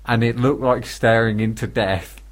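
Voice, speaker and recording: Male British radio co-host, medium pitch, casual and comedic, dry teasing delivery. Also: fairly even intonation, normal speed. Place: radio studio.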